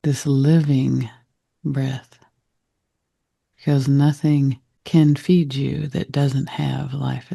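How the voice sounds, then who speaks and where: A man guiding a meditation in a gentle, reflective manner, with deliberate short phrases and a soft, husky, gravelly voice. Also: mid-range voice, no room sound. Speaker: meditation guide. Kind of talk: guided meditation talk.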